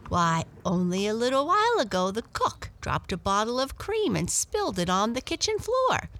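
A woman voicing a chatty, curious female house cat in a storybook, pitching her voice high and asking eager questions. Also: huge pitch swings, moderate pace, fairly bright tone, heavily acted.

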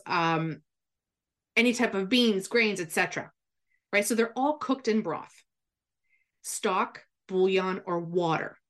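A woman's voice: medium pitch, a bit bright. A woman guest giving cooking advice in a chatty, instructive radio style, often checking in with "right?". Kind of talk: explanatory radio chat.

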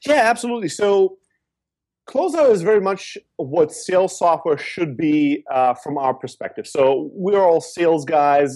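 A man from Greece, raised in Germany, answering interview questions in an energetic, talkative way. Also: a little high, husky. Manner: energetic, talkative, with fillers and repeats. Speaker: guest entrepreneur.

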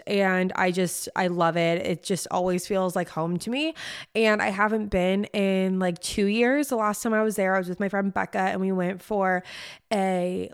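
A woman's voice: mid-range voice, clear, resonant tone. A young woman hosting her own podcast, chatting bubbly and casually, often repeating words for emphasis. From East Coast of the US. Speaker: podcast host. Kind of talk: casual chat.

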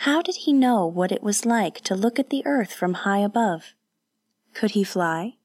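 A woman voicing a sheltered, curious young noblewoman who speaks brightly and eagerly. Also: mid-range voice, lively intonation, measured pace, no room sound, clean studio sound.

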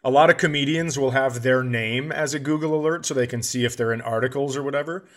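A man's voice: mid-range voice, quick pace, a bit bright. A man co-hosting a comedy podcast, telling casual anecdotes with wry humor, swearing and quoted dialogue.

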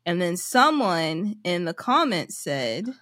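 A woman's voice: on the low side, sing-song, takes it slowly, a bit bright, dry recording. Woman podcaster, close dry sound. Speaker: podcast co-host. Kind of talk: casual podcast chat and reading posts aloud.

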